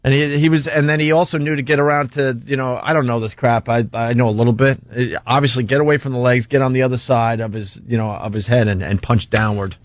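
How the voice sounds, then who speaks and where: A man's voice: medium pitch, steady tone, fast, hardly any pauses, dry recording. Guy hosting talk radio, casual banter, mid voice, really dry studio sound. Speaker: radio show host.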